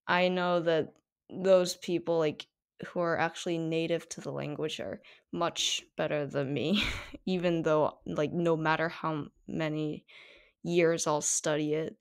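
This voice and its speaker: A woman's voice: low voice, flat delivery, slow, clean tone. Teenage woman hosting a podcast. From South Korea. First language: Korean. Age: teens. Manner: chatty, many fillers. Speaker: podcast host. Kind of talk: casual solo podcast monologue.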